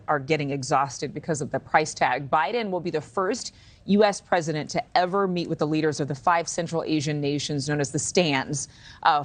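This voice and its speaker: Low-voiced American woman news anchor. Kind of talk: live news interview questioning.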